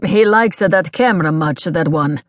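A woman's voice: low-pitched, dry recording, clean studio sound, lightly acted. A woman doing a boastful man. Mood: chatty. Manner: slow, halting broken English, boastful. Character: brash flirtatious Italian man, self-assured.

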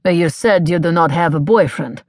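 A woman's voice: on the low side, darkish tone, no room sound, studio quality, lightly acted. A woman doing a boastful man. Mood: flirtatious. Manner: slow, halting broken English, boastful. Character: brash flirtatious Italian man, self-assured.

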